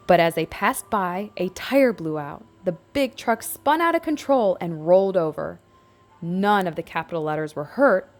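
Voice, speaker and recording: A woman's voice: on the low side, darkish tone. A woman narrating a scripted picture book aloud for young children, as a storybook reader.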